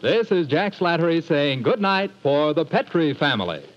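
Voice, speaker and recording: A man working as a radio announcer, with a smooth, cheery 1940s announcing delivery. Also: fairly high pitch, sing-song, moderate pace. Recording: dull lo-fi 1940s radio broadcast.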